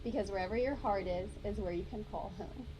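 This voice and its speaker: Woman giving a slow heartfelt wedding address. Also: medium pitch, rough recording.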